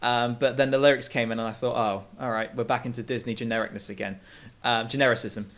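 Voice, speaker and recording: A man's voice: medium pitch, fairly even intonation, fast talker, a bit bright. A man co-hosting a music show, discussing songs in a casual, conversational way.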